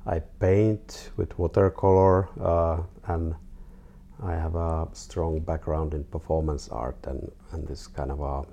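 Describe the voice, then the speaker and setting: A 42-year-old man from Finland with a Finnish accent, talking casually and haltingly as an interview guest. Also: extremely low pitch, flat delivery, vocal fry, mellow. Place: artist's studio.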